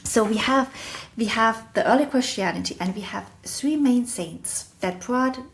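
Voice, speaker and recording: A woman's voice: on the high side, a little slow. A woman with a German accent hosting a casual solo talk, rambling and correcting herself as she goes, often laughing at herself. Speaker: podcast host.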